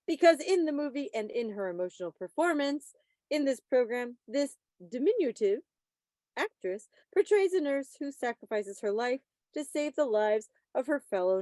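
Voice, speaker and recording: A woman with a fairly high voice hosts a quiz in a chatty, informal way. She teases playfully as she goes, and the sound is dry and close, with little room in it. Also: a little mellow, dry recording. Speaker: quiz host at a club meeting. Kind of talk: casual quiz presenting on a video call. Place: online video meeting.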